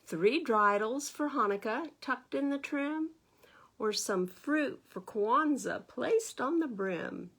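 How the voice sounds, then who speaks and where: A woman's voice: fairly high pitch, slow. A woman with an American accent hosting a storytime for young children, reading a picture book aloud and putting questions to her listeners in a teacherly way. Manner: animated teacherly asides, asking the listeners questions.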